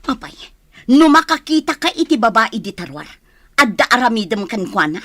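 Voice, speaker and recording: Worried, assertive married daughter, radio drama; high voice, close dry sound. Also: female voice, sing-song, normal speed, sharp tone, dry recording, heavily acted. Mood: pleading, indignant. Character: anxious, assertive married daughter worried about her father. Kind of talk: Ilocano radio drama acting.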